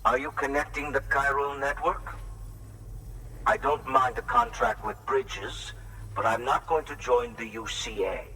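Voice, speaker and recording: A guarded video game recluse, grateful yet distrustful of outsiders. His bright, forceful voice swings up and down in emphatic musing, at an unhurried pace. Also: medium pitch, animated, recorded from a distance, a little hiss or hum, low-quality recording. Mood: wary. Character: guarded, reclusive male shelter dweller, grateful but distrustful.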